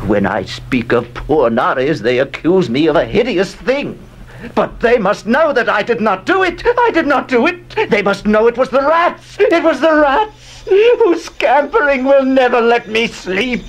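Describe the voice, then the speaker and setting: An actor playing a haunted, unhinged gentleman narrator in a horror audio drama, with a slow, theatrical, tormented delivery. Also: extremely high pitch, very animated, exaggerated voice. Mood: grim, broken, then desperate pleading.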